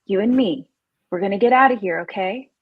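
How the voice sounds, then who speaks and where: A woman's voice: medium pitch, brisk, slightly rough, narrow, tinny audio, close to an everyday voice. A woman reading the part of a frightened but take-charge young camp counselor in a live slasher script read. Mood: soothing, shaky calm.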